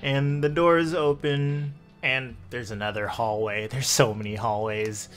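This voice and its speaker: A man with a creaky voice working as game master, narrating a tabletop roleplaying game in a measured, descriptive way. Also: medium pitch, level tone. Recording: faint background music. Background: soft music.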